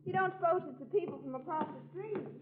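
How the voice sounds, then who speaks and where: A woman's voice: very high voice, fast. An actress playing a genteel, gushing and inquisitive lady neighbour in a 1940s radio comedy. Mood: genteel, coy. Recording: dull, lo-fi 1940s radio recording.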